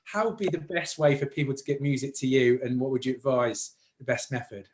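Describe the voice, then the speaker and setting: A man's voice: medium pitch, brisk. A man hosting a casual interview, speaking in an informal, meandering and appreciative way. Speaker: interviewer and host.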